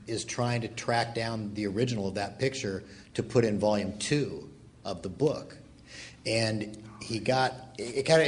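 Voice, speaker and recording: Mid-pitched man moderating informally. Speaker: interview moderator. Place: live event in a theatre. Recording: live event pickup, faint noise.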